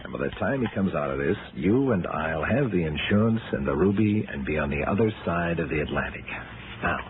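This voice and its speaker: Very deep-voiced, smug radio villain. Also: male voice, a little fast. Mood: smug, cold. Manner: calm, smug, menacing. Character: cold, self-assured male criminal chemist, a scheming poisoner. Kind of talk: 1960s American radio crime drama acting. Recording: noisy vintage radio, narrow band.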